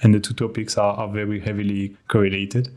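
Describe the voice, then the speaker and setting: French-accented male guest, low-ish voice, candid, self-mocking. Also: level tone, a little mellow. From France. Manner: candid, self-deprecating, quick laughs. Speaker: podcast guest. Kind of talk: casual podcast interview.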